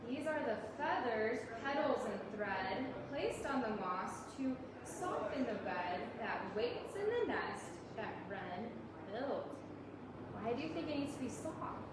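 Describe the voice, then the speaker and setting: A woman's voice: fairly high pitch, big pitch swings, a little slow. A woman leading story time as a children's librarian, talking simply to preschoolers, asking them questions and reading aloud. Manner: simple child-directed talk with frequent questions. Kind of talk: interactive read-aloud to preschoolers. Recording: very echoey room, distant mic, noisy.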